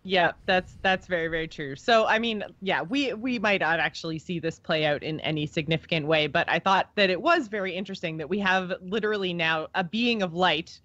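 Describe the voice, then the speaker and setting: A woman's voice: fairly low pitch, brisk, few pauses, a bit bright, no room sound, highs cut off. A woman co-hosting a podcast in casual chat, talking enthusiastically with plenty of slang. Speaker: podcast co-host. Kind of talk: casual chat about game lore.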